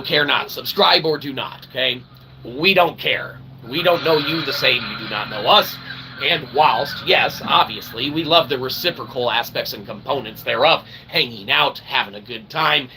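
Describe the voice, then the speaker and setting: A man's voice: medium pitch, gravelly. A man livestreaming a rambling, tongue-in-cheek monologue in verbose, pseudo-formal phrases, often adding short tag questions. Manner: tongue-in-cheek mock philosophizing. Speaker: livestream host. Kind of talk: rambling casual monologue to live viewers. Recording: steady background noise.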